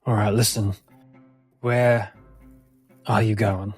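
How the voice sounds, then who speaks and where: A man's voice: on the low side, little pitch movement, takes it slowly, breathy edge, soft background music. A man playing an earnest, wary sanctuary man who talks informally and pleads for secrecy. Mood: urgent, frank.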